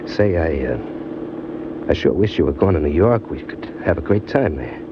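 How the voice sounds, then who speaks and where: An actor playing a streetwise, boastful American ex-convict drifter who narrates in slangy hardboiled style in an old radio crime drama. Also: male voice, very deep voice, expressive, moderate pace, noisy background. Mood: eager, boastful. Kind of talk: old-time radio crime drama acting, first-person narration and dialogue. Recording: dull vintage radio recording, music behind.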